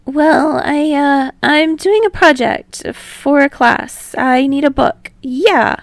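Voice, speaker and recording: A woman voicing a bright, eager, slightly sheepish young man in an audiobook, with an energetic, bubbly manner. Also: high voice, takes it slowly, gravelly, no room sound, voice pushed up, heavily acted. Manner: energetic, bubbly, hesitant when asking. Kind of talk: audiobook character voice.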